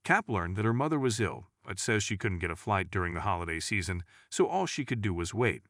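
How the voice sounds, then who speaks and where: Male storyteller for scripted true stories, lowish voice with lively melody, recorded dry. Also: fairly quick, no room sound. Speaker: narrator.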